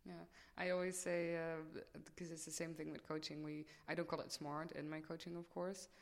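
A woman's voice: low-pitched, level tone, moderate pace. A woman hosting a conversational podcast interview as a student life coach. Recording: reverberant hall-like room sound.

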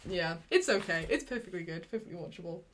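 A young adult from Wales with a light Welsh accent, chatting on a podcast in a laid-back, jokey, sweary way. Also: female voice, fairly low pitch, animated, fast talker, a bit bright, slight background noise. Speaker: podcast guest. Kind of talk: casual chat.

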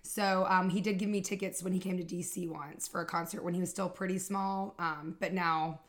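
A woman's voice: fairly low pitch, fairly even intonation, moderate pace. A woman in her thirties from Fayetteville, North Carolina, a fitness trainer chatting playfully as a podcast guest. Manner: playful, joking. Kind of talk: casual interview chat.

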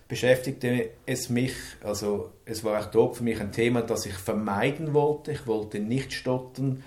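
A man's voice: medium pitch, darkish tone, reverberant. A man, an interview guest speaking earnestly about his life, stuttering and repeating words. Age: 50s. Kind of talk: personal interview.

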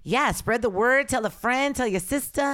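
Raspy-voiced woman podcast host. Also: on the high side, moderate pace, fairly bright tone, dry recording, clean studio sound. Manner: blunt, candid, slips into Spanish. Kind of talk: casual chat.

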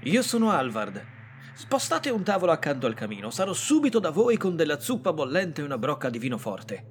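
Guy doing a gushing innkeeper, pretty high and all over the place pitch-wise. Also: big pitch swings, brisk, slight background noise. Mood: effusive. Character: welcoming male innkeeper, eager host. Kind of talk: amateur radio drama acting.

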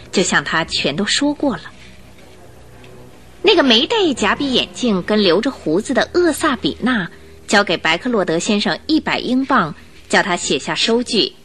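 A woman's voice: medium pitch, fairly bright tone, breathy edge. A woman narrating a radio drama in a scripted, suspenseful storytelling style, posing questions expressively. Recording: faint music behind narration. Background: faint music.

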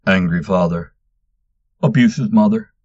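A man's voice: lowish voice, unhurried, darkish tone, highs cut off. A man doing a mocking barbarian warrior. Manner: mocking, blunt. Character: teasing burly male barbarian warrior.

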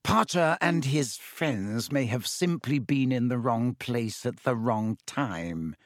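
A man voicing a cold, sneering male teacher who speaks slowly and silkily, in a dry recording. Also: mid-range voice, expressive, no echo. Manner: slow, silky and sneering.

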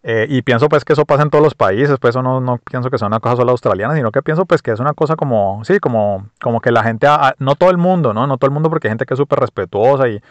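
A man's voice: medium pitch, rapid-fire, hardly any pauses, no echo, highs cut off. A man with a Colombian accent hosting a podcast, talking casually and reflectively with many fillers and restarts. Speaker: podcast host. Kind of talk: casual personal monologue.

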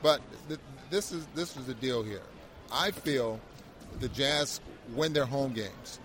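Mid-pitched man analysing a game. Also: slow pace, noisy background. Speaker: sports radio co-host, analyst. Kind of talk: conversational post-game analysis.

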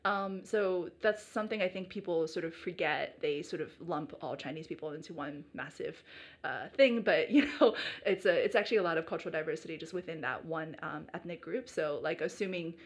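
A woman's voice: low-pitched, a little fast. Chatty young American woman. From United States. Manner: chatty, many fillers and restarts, laughs easily. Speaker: guest. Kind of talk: casual conversation.

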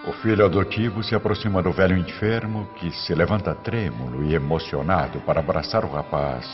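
A man's voice: low-pitched, a little fast. A man narrating a radio drama in a dramatic, scripted storytelling style. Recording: muffled, long reverb, music underneath.

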